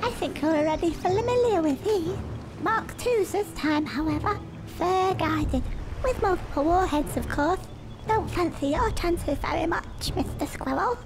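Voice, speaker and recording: A man's voice: very high voice, a little slow, background noise. A man voicing a gloating male mad scientist villain in a video game, theatrical and prone to panicky outbursts. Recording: game audio over loud music.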